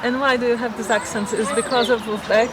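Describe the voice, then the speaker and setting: A woman's voice: on the high side, steady tone, fairly quick, crowd noise. A middle-aged woman from southern Brazil chats casually in hesitant English with a Brazilian accent, a native Portuguese speaker. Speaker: video blogger interviewing a friend. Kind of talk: casual chat. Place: outdoors in a city park. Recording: outdoor recording with urban noise.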